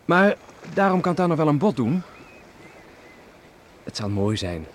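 An actor playing a respectful, quietly teasing young farmer and betrothed son in a radio drama. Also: male voice, on the high side, very animated, a little slow. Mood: earnest. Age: young adult. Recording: noisy old radio play recording.

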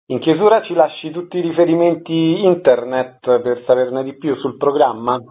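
A man's voice: on the high side, steady tone, normal speed, husky. A man hosting a radio show, delivering prepared announcements and interview questions. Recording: dull lo-fi radio recording.

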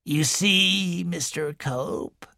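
A man voicing a heavy, domineering old woman who wheezes and speaks slowly and coldly in an audiobook reading. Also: high voice, vocal fry, bright, forceful tone, no echo, clean studio sound, voice pushed up, full character voice. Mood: cold, controlling. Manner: slow, cold, controlling.

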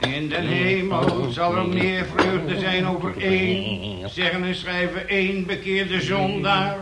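An actor playing a pompous, sanctimonious church brother who preaches in stilted, archaic phrases in a Dutch radio play. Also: male voice, high voice, little pitch movement, slow. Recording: roomy sound with loud music.

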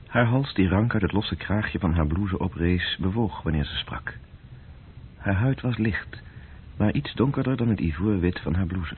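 A man's voice: deep voice, normal speed. A man narrating a radio drama in a literary, scripted style. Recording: muffled old radio recording with faint noise.

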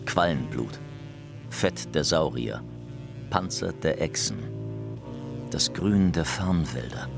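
An ominous narrator, very deep and gravelly. He intones poetic radio drama lines slowly, like an incantation, over music. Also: animated, exaggerated voice. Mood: dark, incantatory. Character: ominous, incantatory narrator of ancient buried treasure. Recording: music behind the voice.

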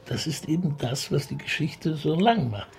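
A man's voice: on the high side, lively intonation, normal speed, creaky, darkish tone, slight background noise. A man playing a courteous, slightly flustered middle-aged police commissioner who loves opera, in a conversational radio crime comedy, polite, hesitant and wry. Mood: evasive, polite. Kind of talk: German radio play acting, conversational.

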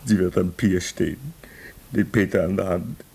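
A man's voice: sits low, moderate pace, creaky, a little mellow, breathy edge, full character voice. An actor in a German radio play, playing an embittered, homesick man stranded in the Arctic, brooding and anguished. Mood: wistful, longing. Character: embittered, homesick husband stranded at a polar station.

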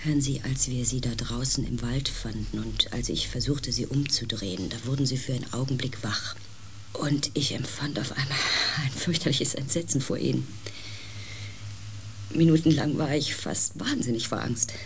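An actor playing a compassionate narrator and protagonist in a science fiction radio play, gentle and reflective, over faint background noise. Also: male voice, medium pitch, moderate pace, close to an everyday voice. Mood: compassionate, searching. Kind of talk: radio drama narration and dialogue.

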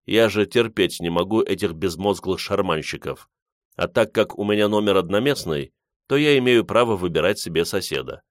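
A man's voice: deep voice, moderate pace, no echo, clean studio sound. A man voicing a courteous, self-assured eccentric inventor in his sixties, speaking politely and formally in an audiobook. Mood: fussy, indignant. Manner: polite, calm, weighty formal speech. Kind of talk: audiobook character dialogue.

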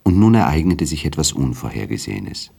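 A man narrating a literary radio drama in a measured, formal storytelling manner. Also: rumbling low, sing-song, vocal fry, mellow, slightly rough.

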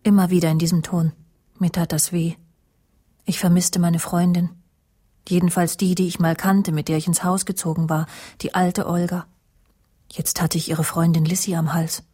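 Eine Schauspielerin mit rauchiger Stimme spielt in einem Krimi-Hörspiel eine stolze, scharfzüngige Fernsehmoderatorin, die trocken und sardonisch ihre Geschichte erzählt. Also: female voice, fairly low pitch, level tone, normal speed, warm tone, husky. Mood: troubled, reflective. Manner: dry, sardonic, self-assured. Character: proud, sharp-tongued woman TV presenter narrating her story. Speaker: actress, lead and narrator in radio play. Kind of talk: German radio crime drama, intimate first-person narration and dialogue.

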